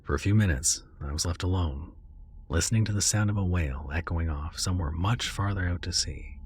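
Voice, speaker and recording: A man narrating a scripted horror story with a hushed, dread-filled delivery. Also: sits low, fairly quick, breathy edge.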